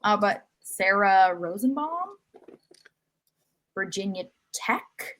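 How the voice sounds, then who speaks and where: Mid-pitched woman recapping games casually. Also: sing-song, slow pace, a bit bright, low-quality recording. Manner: informal, lightly joking. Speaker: co-host of a sports podcast. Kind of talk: casual match recap chat.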